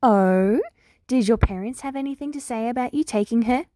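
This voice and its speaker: A woman's voice: fairly high pitch, moderate pace, mellow, no echo, voice pushed up, close to an everyday voice. A woman voicing a cheerful, shrewd little male animal principal in an audiobook-style story, blithe and inquisitive.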